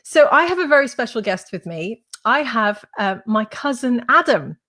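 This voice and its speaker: A woman in her thirties, slightly hoarse from a cold, hosting a live-stream interview over a video call with an upbeat, chatty manner. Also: fairly high pitch, big pitch swings, moderate pace, a bit bright. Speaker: host and school founder. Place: home room with shelves. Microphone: webcam on video call.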